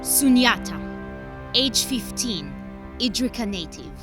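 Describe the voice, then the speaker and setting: A woman's voice: a little high, lively intonation, slow, music in the background. A woman voicing a teenage girl paladin who formally states her record in an audio drama. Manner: formal roll-call delivery.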